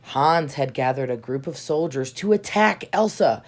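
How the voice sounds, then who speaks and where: A woman reading a children's storybook aloud in expressive narration, with dramatic emphasis on key words. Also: extremely low pitch, moderate pace. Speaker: storybook reader. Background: soft music.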